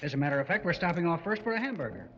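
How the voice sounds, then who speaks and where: A fairly high man's voice a smooth, mocking husband in a vintage horror broadcast. His pitch swings widely as he wheedles and condescends. The sound is dull, in a long reverb. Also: big pitch swings, very fast, a bit bright, a little hiss or hum. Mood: smooth menace. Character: mocking, smooth-talking husband with quiet menace. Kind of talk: 1940s radio horror acting. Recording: dull, reverberant old radio recording.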